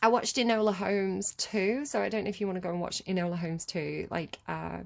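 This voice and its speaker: A woman's voice: medium pitch, fairly even intonation, a little fast, gravelly. A British woman giving a casual tarot reading, chatty and rambling, laughing often. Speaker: tarot reader.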